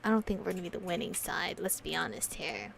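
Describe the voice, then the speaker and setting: A woman's voice: on the low side, very animated, quick pace, gravelly, slight background noise. A woman doing a casual visual novel playthrough, reading story text aloud and reacting with slangy, sweary commentary. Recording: music playing behind the voice. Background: background music.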